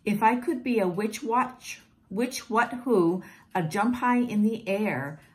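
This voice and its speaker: A woman whose first language is Portuguese reads picture books aloud to children in a gentle, encouraging, singsong way. She is filmed at home on a close webcam. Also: medium pitch, slow. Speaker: teacher reading picture books. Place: home room with plants, indoors. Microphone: webcam at close range.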